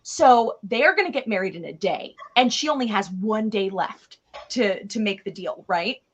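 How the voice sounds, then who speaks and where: A woman retelling a tale in a casual, chatty way, with an animated, theatrical and slangy manner. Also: medium pitch, moderate pace, a little hiss or hum. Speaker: co-host retelling fairy tales.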